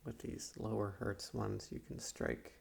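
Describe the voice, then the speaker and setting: A man acts out a sinister male psychiatrist for an ASMR roleplay. His voice is low and very creaky, with a husky, breathy edge. He speaks in a measured, clinical way, hesitating softly as if to soothe a patient. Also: fairly even intonation, full character voice. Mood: quietly intent.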